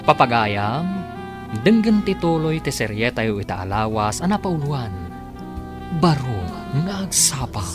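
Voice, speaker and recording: A man narrating a scripted radio drama as its announcer, in a dramatic, declamatory manner. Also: medium pitch. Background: music.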